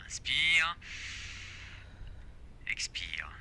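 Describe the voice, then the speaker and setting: An actor playing a forest ranger in a French audio drama, reassuring his partner in a hushed, evasive way. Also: medium pitch, big pitch swings, extremely slow, a little hiss or hum, full character voice. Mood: soothing. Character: male forest ranger soothing his frightened wife.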